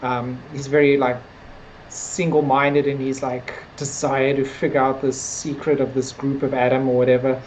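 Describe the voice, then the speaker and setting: Low-pitched woman in casual review chat. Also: level tone, normal speed, a little mellow, a little hiss or hum, low-quality recording. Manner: hedging, conversational, frequent "like" and "sort of". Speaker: podcast co-host discussing a TV series.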